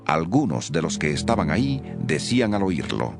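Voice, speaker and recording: Solemn deep-voiced male narrator. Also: sing-song, moderate pace, creaky, music in the background. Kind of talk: dramatized scripture narration.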